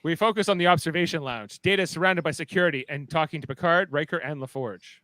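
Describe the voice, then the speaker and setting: A man's voice: a little high, fast, sharp tone, no room sound. A man narrating stage directions in a playful, dramatic way for a live fan script reading.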